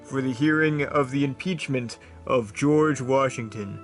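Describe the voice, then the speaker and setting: Guy doing a clueless wizard judge, slow and rambly, scattered. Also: mid-range voice, faint music behind, natural voice. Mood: pompous. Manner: slow, rambling, absent-minded. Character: bumbling middle-aged male judge who is also a wizard. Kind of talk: comedic brickfilm voice acting.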